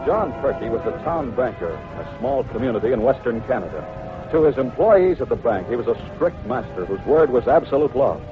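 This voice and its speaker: A man's voice: medium pitch, sing-song, brisk. A man narrating an old-time radio adventure as its announcer, in a dramatic, scripted style. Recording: tinny old radio recording. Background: music.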